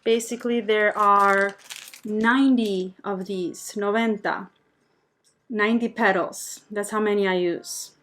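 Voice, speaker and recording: A woman's voice: medium pitch, fairly even intonation, unhurried, fairly bright tone. A woman from Albania speaking casually and chattily as she hosts a live craft tutorial, friendly with her viewers. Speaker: host of a craft tutorial livestream.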